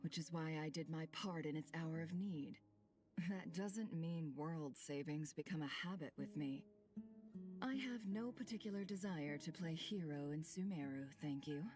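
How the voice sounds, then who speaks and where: An actress playing a guarded, aloof wanderer with a bitter past in a video game, talking in a cool, dry, sardonic way. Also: female voice, deep voice, moderate pace, a little mellow, soft background music, close to an everyday voice. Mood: sardonic, dismissive. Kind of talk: scripted video game voice acting.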